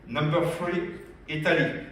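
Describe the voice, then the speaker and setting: A man from France with a strong French accent gives a lively business training talk at a convention, often asking the audience questions. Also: a little high, measured pace, a little hiss or hum. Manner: energetic, addresses audience directly with questions. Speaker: business development director. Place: large convention hall. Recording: echoey hall, speaker far from mic.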